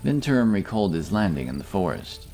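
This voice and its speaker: A man narrating a scripted fantasy story in a measured, dramatic storytelling manner. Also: low voice, very animated, creaky, mellow, faint music behind.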